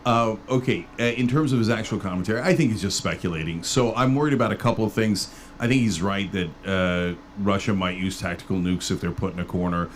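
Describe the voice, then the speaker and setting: A man's voice: fairly low pitch, fairly quick, few pauses, a little hiss or hum. A man from Turkey, a political commentator, talking as a guest in a casual podcast conversation. He acts out bits of dialogue as he tells stories. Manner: emphatic, animated storytelling with mock dialogue.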